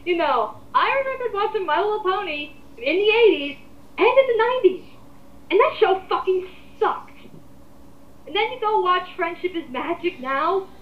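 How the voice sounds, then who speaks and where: Young woman, high voice, blunt rambling commentary, roomy sound. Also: big pitch swings, normal speed, highs cut off. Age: 20s. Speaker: host leading a group discussion. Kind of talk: casual opinionated commentary chat.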